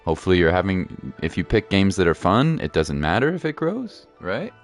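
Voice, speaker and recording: A man chatting casually and encouragingly as he hosts a game livestream, using relaxed slang. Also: deep voice, normal speed, faint music behind. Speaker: game streamer and host.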